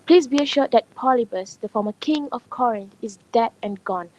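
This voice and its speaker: Student as humble old messenger, mellow, high. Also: female voice, moderate pace, close to an everyday voice, poor audio. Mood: solemn, polite. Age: young adult. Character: humble old woman messenger in ancient Greece. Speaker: student actor. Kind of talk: amateur radio drama acting.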